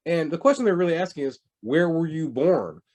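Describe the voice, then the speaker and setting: A man lecturing on public health in a lively, conversational way, often asking rhetorical questions. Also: fairly high pitch, fairly quick. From United States.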